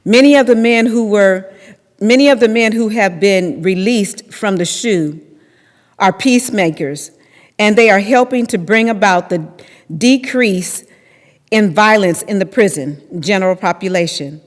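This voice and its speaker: A woman's voice: mid-range voice, unhurried. A middle-aged woman giving a prepared personal speech from a lectern. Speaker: speaker giving personal testimony. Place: temple sanctuary at a lectern. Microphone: boom mic on a stand at lectern. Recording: echoey hall with long reverb.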